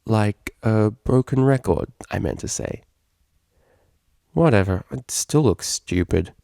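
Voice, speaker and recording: A man's voice: fairly low pitch, lively intonation, slow, vocal fry, warm tone, no room sound, studio quality, full character voice. A man voicing a brash female unicorn DJ in a story, blunt, slangy and easily flustered. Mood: grumbling.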